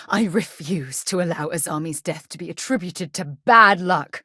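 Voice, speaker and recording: An earnest, principled space explorer for a video game. Her voice sits a little low for a woman and is recorded dry and close. She speaks in a formal, articulate and expressive way, with caring weight behind it. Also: moderate pace, husky, dry recording. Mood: reflective, somber.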